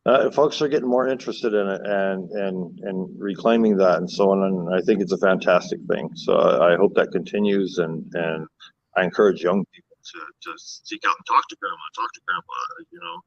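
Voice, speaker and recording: A man from the Cherokee Nation of Oklahoma, a guest in a casual virtual chat, talking candidly and joking, with halting fillers, over a video call. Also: sits low, muffled recording.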